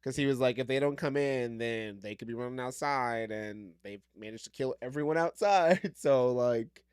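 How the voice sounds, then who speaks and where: A man's voice: moderate pace, darkish tone, clean tone, no echo, studio quality. A man guest on a casual podcast, cracking wry, playful jokes. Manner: playful, wry jokes, big swings in pitch. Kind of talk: casual chat.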